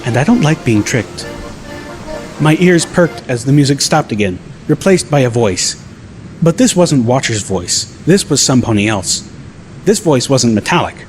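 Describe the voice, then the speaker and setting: A man's voice: mid-range voice, expressive, normal speed, faint music behind. A man reading a first-person scripted story as an audiobook narrator.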